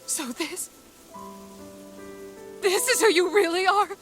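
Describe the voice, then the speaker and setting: A woman's voice: very high-pitched, takes it slowly, a bit bright, faint music behind, exaggerated voice. A woman voicing a fierce young captain in a dramatic video game, grieving and betrayed, with raw intensity. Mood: enraged.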